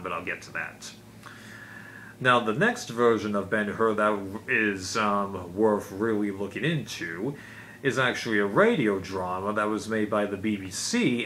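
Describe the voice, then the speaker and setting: Regular guy doing a casual collection review, kinda rambly with side comments, average pitch, bit of noise on the recording. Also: pushed and bright. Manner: rambling, conversational, with asides. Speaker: video reviewer of home video releases. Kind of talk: casual unscripted collection vlog. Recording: clearly noisy amateur recording.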